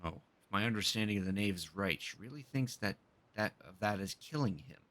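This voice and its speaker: A man's voice: fairly low pitch, expressive, a little fast, fairly bright tone, faint music behind. A man streaming a video game, giving casual commentary on the story as it unfolds.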